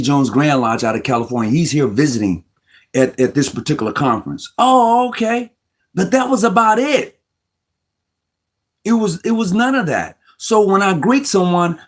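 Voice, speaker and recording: A man's voice: fairly high pitch, very animated, normal speed, husky. Emphatic man arguing a point. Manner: emphatic, argumentative, often restarts words. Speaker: host. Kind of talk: casual Masonic discussion.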